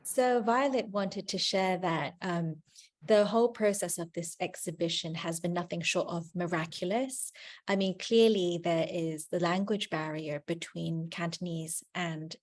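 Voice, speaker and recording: A woman moderating an online artist conversation and interpreting for the speakers, fluent and measured with frequent ums. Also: on the low side, darkish tone. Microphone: video call.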